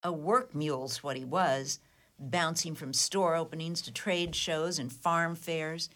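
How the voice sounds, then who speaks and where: A woman acts out a disdainful grown daughter in a radio comedy. Her voice is lowish and very unhurried, delivering blunt, dry, cutting lines. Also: steady tone, fairly bright tone. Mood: scornful. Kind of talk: comedy whodunit radio play acting.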